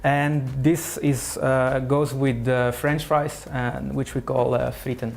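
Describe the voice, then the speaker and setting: A man's voice: mid-range voice, flat delivery, slow, gravelly, a little mellow. A young Belgian man whose first language is Dutch, chatting in English as a guest cook with many hesitant fillers and restarts. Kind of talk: casual kitchen chat. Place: kitchen studio with frying.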